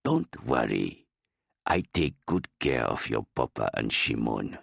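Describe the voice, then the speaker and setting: A man's voice: very deep voice, big pitch swings, a little slow, gravelly, a bit bright, slightly rough, dry recording, studio quality, pitched below the performer's own voice, heavily acted. A man voicing a loyal, simple-minded old gardener, a barrel-chested man who talks plainly with broken grammar. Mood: earnest.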